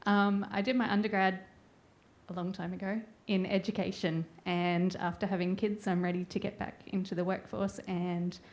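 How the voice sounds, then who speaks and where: A middle-aged woman introduces herself in a relaxed, conversational way. Her voice has a slight gravelly fry. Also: medium pitch, normal speed. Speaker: student. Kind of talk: self-introduction.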